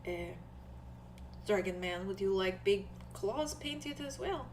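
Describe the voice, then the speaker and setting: A woman voicing a quiet, shy but dutiful leopard-woman in halting, clipped phrases with a foreign accent, for a tabletop game. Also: medium pitch, animated, slight background noise. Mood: reserved, deliberate.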